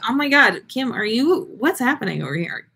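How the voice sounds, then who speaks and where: Female podcast host, expressive story reader with playful, casual asides and lively pitch swings. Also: fairly quick, gravelly, slightly rough. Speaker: podcast host reading listener stories on a livestream. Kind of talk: animated story reading with casual chat.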